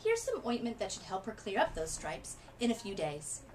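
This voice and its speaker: A bustling male doctor from a storybook, acted out by a woman. He is puzzled yet brisk, speaking deliberately with very lively intonation. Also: medium pitch, slight background noise. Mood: reassuring.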